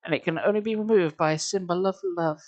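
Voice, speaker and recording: A reader voicing a sad, bitter male mask-shop owner who speaks softly and slowly, in a storybook reading. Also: medium pitch, no room sound, dull audio, pitched above the performer's own voice, natural voice. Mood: solemn. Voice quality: soft, hushed.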